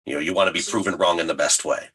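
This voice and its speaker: Male creative guest for casual interview, enthusiastic. Low register, rough husky edge. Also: fairly even intonation, rapid-fire, a bit bright. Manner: effusive, enthusiastic. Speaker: audio drama writer and director, podcast guest.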